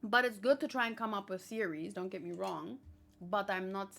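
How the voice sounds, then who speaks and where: A woman hosting a read-along, chatting casually with viewers and thinking aloud, with hesitant pauses and musing hums. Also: mid-range voice, vocal fry, fairly bright tone. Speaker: host of a manga read-along reaction show.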